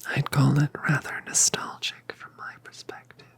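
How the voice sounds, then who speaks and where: A woman voicing a colossal, tender mother dragoness in a whispered ASMR roleplay, speaking softly and soothingly. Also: lightly acted. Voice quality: breathy whisper. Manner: soft, slow, soothing.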